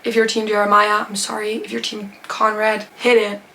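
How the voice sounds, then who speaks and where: A woman's voice: medium pitch, completely flat delivery, normal speed, vocal fry, bright, forceful tone, a little hiss or hum. Chatty teen girl. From Netherlands or Flanders. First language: Dutch. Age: teens. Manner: chatty, gushing, rambling with fillers. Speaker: book vlogger. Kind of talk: casual Q&A chat to camera.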